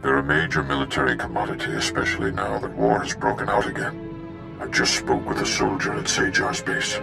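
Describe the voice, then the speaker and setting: An actor voicing a wise old male Jedi master in a video game, speaking in a measured, earnest way. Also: sing-song, over music, full character voice. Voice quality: deep gravelly. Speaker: Jedi master on a holo call.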